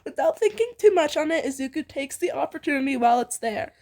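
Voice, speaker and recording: A woman's voice: high-pitched, very animated, fast talker, a little mellow. A woman reading fan fiction aloud in a casual way, often breaking into giggles and stumbling over the text.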